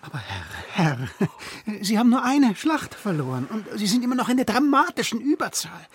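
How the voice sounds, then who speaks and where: A nervous servant to a king, very husky, voice jumps around, radio play. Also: medium pitch, sing-song, moderate pace. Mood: pleading. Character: flustered deferential royal aide to a king.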